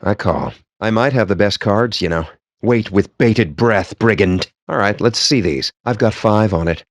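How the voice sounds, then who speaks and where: A man's voice: on the low side, unhurried, no room sound, natural voice. A man voicing a sardonic, wordy, nerdy gamer as a video game character, with wry, pompous delivery and dramatic emphasis. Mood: mock bravado. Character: sardonic, verbose, nerdy male gamer at a poker table.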